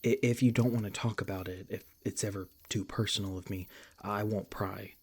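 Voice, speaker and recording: A man playing a protective, tender monster hunter in an ASMR roleplay, speaking in a gentle, halting, earnest way with a husky, breathy voice. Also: fairly low pitch, fairly even intonation. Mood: tender, concerned. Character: protective, tender male monster hunter comforting his beloved. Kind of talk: ASMR roleplay, soft intimate acting.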